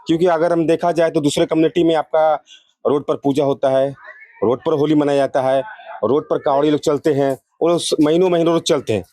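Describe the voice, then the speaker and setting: A man's voice: on the high side, steady tone, a little fast, mellow, no echo. A man from India speaking as a local resident in a street interview, making earnest, repetitive and emphatic appeals. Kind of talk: street interview, opinion statement.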